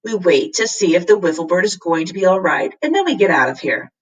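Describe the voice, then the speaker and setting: A woman's voice: lowish voice, sing-song, fairly quick, husky, recorded from a distance, rough recording. A woman playing a kindly, knowing male professor in a children's story, explaining and protective. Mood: urgent.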